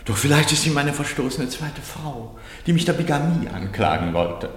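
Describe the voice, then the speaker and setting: Theatrical amateur detective, swooping voice. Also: male voice, a little high, sing-song, normal speed, slightly rough, no room sound. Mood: ironic. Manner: emphatic, insistent, dramatic. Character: eager, suspicious amateur male detective, stubborn and theatrical. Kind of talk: German radio play acting.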